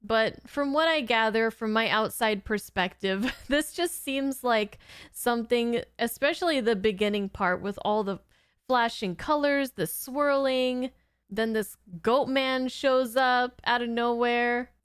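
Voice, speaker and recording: A woman's voice: fairly high pitch, unhurried, clear, resonant tone, no echo, studio quality. A woman giving casual reaction commentary in an informal, giggly, rambling way. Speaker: host of a reaction channel.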